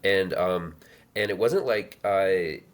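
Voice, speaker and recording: A man in his thirties telling his life story as an interview guest, halting with many fillers and restarts. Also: low-pitched. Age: 30s. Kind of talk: reflective personal storytelling.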